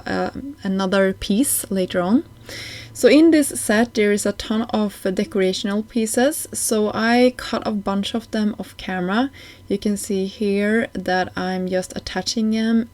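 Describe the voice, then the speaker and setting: A woman's voice: medium pitch, darkish tone. A woman giving a casual voice-over craft tutorial as host, with slightly hesitant phrasing and small non-native grammar slips.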